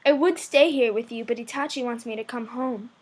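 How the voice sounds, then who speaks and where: A woman's voice: brisk, a little mellow, lightly acted. A woman voicing a cool, reserved but caring teenage boy in an amateur anime fan-fiction reading. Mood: calm, caring. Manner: subdued, low-key delivery.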